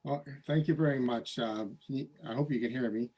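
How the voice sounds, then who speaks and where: Male candidate, upbeat and quick, warm mellow tone. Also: mid-range voice, poor audio. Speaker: council candidate. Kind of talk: candidate speech at a forum.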